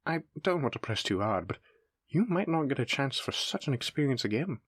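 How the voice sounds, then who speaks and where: A man's voice: mid-range voice, big pitch swings, fairly quick, husky, no room sound, clean studio sound. A man playing a polite, eager demon front desk clerk in a scripted roleplay, with formal, effusive hospitality patter. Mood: courteous, persuasive. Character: courteous, eager demon hotel receptionist, smooth salesman. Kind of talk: scripted roleplay audio addressed to the listener.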